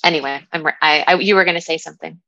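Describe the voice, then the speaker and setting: Woman coach as podcast guest: lowish, bright, forceful voice, halting and reflective, thinking aloud. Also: gravelly, no echo, highs cut off. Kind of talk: reflective conversational interview.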